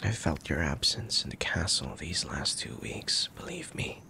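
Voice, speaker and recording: A man playing a soft, tender young crown prince in an intimate ASMR roleplay, speaking formally with a gentle, hesitant manner and a troubled air. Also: rumbling low, lively intonation, measured pace, creaky, heavily acted. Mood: concerned. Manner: formal old-fashioned wording, gentle, hesitant.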